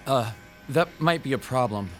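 An actor playing a timid, bullied shop owner in an audio drama, hesitant, defensive and pleading. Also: male voice, medium pitch, expressive, moderate pace, faint music behind, close to an everyday voice. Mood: nervous, hesitant. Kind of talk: modern audio drama acting.

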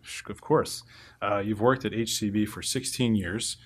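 Low-pitched male podcast host. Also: fairly even intonation, moderate pace. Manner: conversational, frequent "uh" and "um" fillers. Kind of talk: podcast hosting and interviewing. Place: podcast studio.

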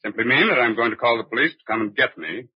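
A man playing a well-spoken, coldly resolute wealthy man in a 1940s radio crime drama, formal and measured. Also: mid-range voice, breathy edge, dry recording. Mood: calmly menacing. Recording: dull old radio recording.

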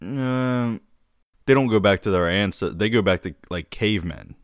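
A man's voice: on the low side, moderate pace, no room sound, clean studio sound. A man co-hosting a podcast in a casual, laid-back way, often calling his co-host dude.

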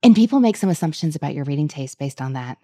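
A woman's voice: low-pitched, expressive, quick pace, mellow, no room sound. A woman hosting a podcast, interviewing her guest in a playful, laughing way. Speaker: podcast host. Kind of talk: casual interview chat.

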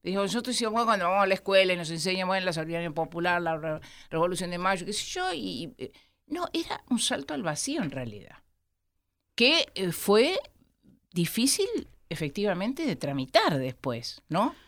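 An Argentine woman historian with an Argentine accent, a guest in an interview who explains at length with many pauses. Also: fairly low pitch, big pitch swings, a bit bright. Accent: Argentine Spanish. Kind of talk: studio interview.

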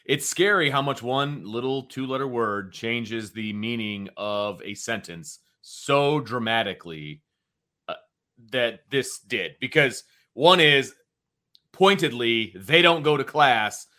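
A man's voice: mid-range voice, lively intonation, takes it slowly, sharp tone. A man co-hosting a casual sports talk show, speaking emphatically and repeating points for stress.

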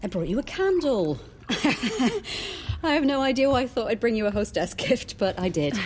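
A woman's voice: fairly high pitch, big pitch swings, normal speed, darkish tone, a little hiss or hum. An actress playing a wistful married woman in her forties in an audio drama, speaking in a hesitant, confiding, intimate way. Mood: nervous, giggly. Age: 40s. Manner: hesitant, confiding, self-conscious.

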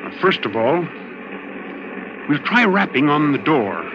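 Animated, high-pitched young navy commander. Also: male voice, measured pace, background noise. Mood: firm. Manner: clipped, authoritative. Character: take-charge younger navy commander, urgent and decisive. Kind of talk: 1940s radio acting. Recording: muffled old radio broadcast with loud backing sound.

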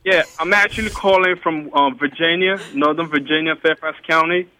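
Man calling in, bright. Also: a little high, normal speed, poor audio. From Liberia. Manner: polite, slightly hesitant, explains at length. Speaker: caller. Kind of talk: casual call-in chat.

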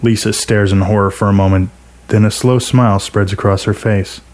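A man's voice: fairly low pitch, fairly even intonation, moderate pace, darkish tone. A man reading a scripted first-person short story as its narrator.

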